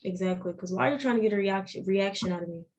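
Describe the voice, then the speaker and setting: Young southern woman guest, animated, keeps restarting lines, casual chat. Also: mid-range voice, rapid-fire. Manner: animated, repeats and restarts phrases. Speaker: podcast guest.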